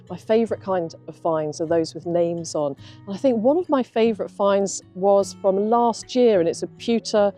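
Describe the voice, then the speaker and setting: Female interview guest, lowish warm voice, conversational, recorded outside. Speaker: mudlark guest. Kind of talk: casual interview chat. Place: outdoors on a river foreshore.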